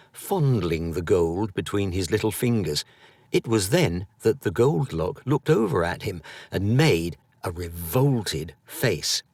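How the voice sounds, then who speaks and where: A British man narrating an audiobook story in an expressive, scripted reading style. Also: sits low, no room sound.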